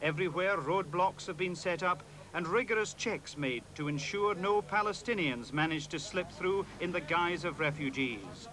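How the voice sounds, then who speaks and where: A man's voice: high voice, moderate pace, few pauses, bright, forceful tone. A man narrating a scripted report as a foreign news correspondent.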